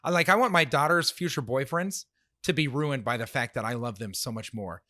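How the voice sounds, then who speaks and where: A man with a slightly nasal voice co-hosting a casual talk show, animated and laughing often. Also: medium pitch, fairly quick, no echo, studio quality. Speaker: podcast co-host. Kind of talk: casual chat.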